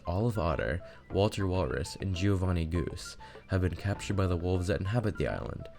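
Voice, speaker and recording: A man with a low voice delivers a scripted video game review. He narrates in video essay style, with music playing behind him. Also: darkish tone.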